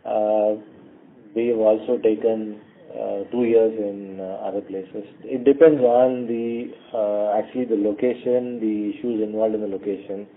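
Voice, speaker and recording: A man with an Indian accent answering questions as a company executive on an earnings call, in a measured, halting way with many long hesitations. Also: fairly low pitch, fairly even intonation. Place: conference call. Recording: narrowband tinny phone conference line.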